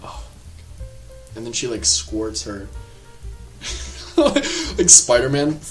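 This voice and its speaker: A man giving a casual, rambling film review, full of filler words and quick asides, over faint background music. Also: mid-range voice. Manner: animated, rambling, lots of filler words and laughs.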